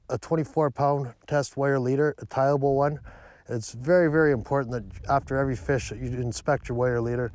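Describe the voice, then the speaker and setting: A man's voice: mid-range voice, a little mellow. Earnest man explaining gear in a boat. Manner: earnest, stresses key points. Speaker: fishing show host. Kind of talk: instructional talk. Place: small boat on a lake outdoors.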